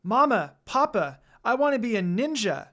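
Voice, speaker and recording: A man acts out a lonely young heroine from a fan fiction story. The voice is high, plaintive and halting, with a tearful temper. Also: sing-song, a bit bright, exaggerated voice. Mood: childlike, eager.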